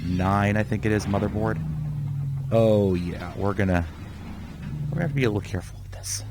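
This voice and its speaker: Young male gaming host, slightly low voice, a bit sick, chatty live commentary. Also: fairly quick, loud music behind the voice. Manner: rambling, chatty, talks to himself while playing. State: sick, voice somewhat off. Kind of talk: casual live gameplay commentary.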